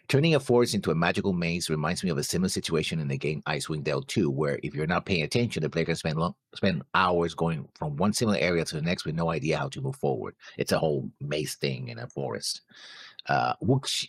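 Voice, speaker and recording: A man co-hosting a reaction show, talking casually through notes with halting, noncommittal phrasing. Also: rumbling low, breathy edge, no echo, clean studio sound. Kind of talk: casual discussion, reading out notes.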